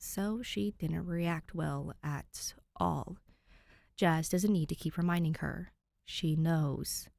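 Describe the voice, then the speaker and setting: A woman's voice: lowish voice, vocal fry, darkish tone, no echo, clean studio sound. A woman hosting and narrating fan fiction, chatting to listeners and reading expressively. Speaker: podfic reader and channel host.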